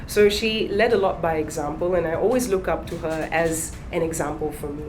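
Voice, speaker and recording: Middle-aged lady trainer chatting, lowish voice, a bit of background hiss. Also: a little fast, darkish tone. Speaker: guest trainer. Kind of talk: casual panel chat.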